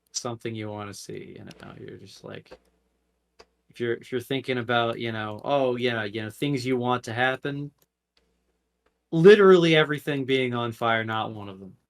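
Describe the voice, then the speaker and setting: A man co-hosting a casual podcast, with sarcastic deadpan asides and some swearing. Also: mid-range voice, normal speed, creaky. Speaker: podcast co-host. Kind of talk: casual chat.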